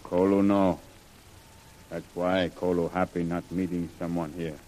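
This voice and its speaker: A cautious, loyal male guide in a vintage radio adventure. He speaks low, in measured, clipped broken English. Faint noise runs under the old recording. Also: flat delivery, mellow, low-quality recording. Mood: wary. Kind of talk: 1940s radio serial acting.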